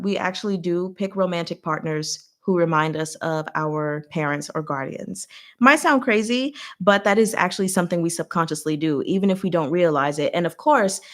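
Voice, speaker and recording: A woman hosting a casual book recap and discussion, speaking in a chatty, conversational way with frank asides to her listeners. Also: on the low side. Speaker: host of a book recap livestream.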